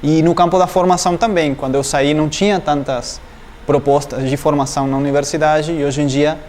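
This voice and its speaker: Man answering thoughtfully, higher-pitched. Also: steady tone, fairly quick, a little mellow, a little hiss or hum. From Argentina, Entre Ríos province. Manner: thoughtful, many fillers and restarts, searches for words. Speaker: doctoral student, interviewee. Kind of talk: academic interview answers.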